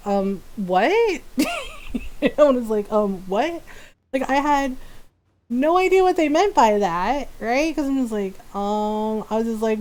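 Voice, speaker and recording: Female streamer, middle-aged, American accent, mid-range pitch. Informal, personal storytelling delivery with acted-out back-and-forth quotes. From United States. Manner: animated retelling with acted-out quoted dialogue. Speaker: live streamer.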